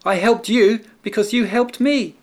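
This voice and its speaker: A man doing a squeaky, timid little mouse. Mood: eager pleading. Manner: pleading, eager, quick.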